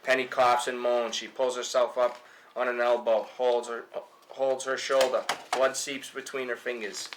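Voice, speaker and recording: A man's voice: medium pitch, little pitch movement, normal speed, background noise. A man reading stage directions aloud as narration at a film table read.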